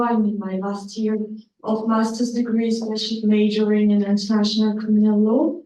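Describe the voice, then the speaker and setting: A woman's voice: mid-range voice, mellow. A young woman from Congo, a master's student, asking a panel polite, hesitant questions. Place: law school lecture room. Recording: echoey room, far from the mic.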